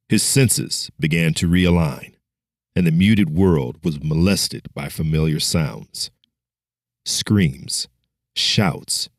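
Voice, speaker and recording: A man's voice: deep voice, darkish tone, no room sound, clean studio sound. A man giving a dramatic scripted narration as an audiobook storyteller.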